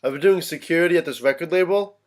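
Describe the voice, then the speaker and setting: A man's voice: on the high side, fast, natural voice. A man playing an easygoing, wry young adult son in a staged play reading, talking casually.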